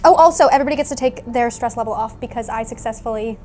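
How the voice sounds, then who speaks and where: A woman's voice: a little high, racing, darkish tone. A woman playing a tabletop role-playing game, chatting casually and partly in character, with music behind her. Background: background music.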